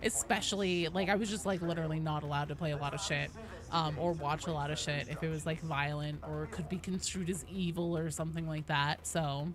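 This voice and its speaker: A woman's voice: sits low, fairly even intonation, brisk, a crowd in the background. A woman game streamer chatting casually with viewers, informal and sweary, reading and answering their comments as she goes. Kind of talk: casual chat with viewers while gaming. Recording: faint background noise.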